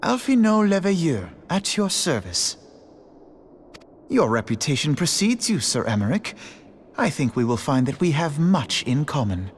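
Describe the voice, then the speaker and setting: An actor playing a self-assured young noble envoy in a video game, speaking formally, eloquently and earnestly. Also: male voice, a little high, sing-song, a little slow, slight background noise. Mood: poised, polite. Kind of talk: scripted video game cutscene dialogue. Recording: game music behind the voice.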